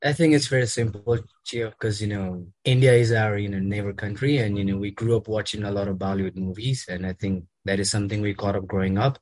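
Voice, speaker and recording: A man from Nepal, a native Nepali speaker, chatting as an interview guest in a conference room, relaxed and filling gaps with "you know". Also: fairly low pitch, fast, few pauses. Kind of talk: casual conversation. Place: conference room with poor acoustics.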